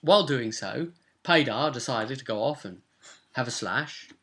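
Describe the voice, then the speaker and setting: Game master, young man, British, bright voice, casual tabletop session recap. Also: mid-range voice, lively intonation, unhurried. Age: young adult. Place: small cluttered room at home.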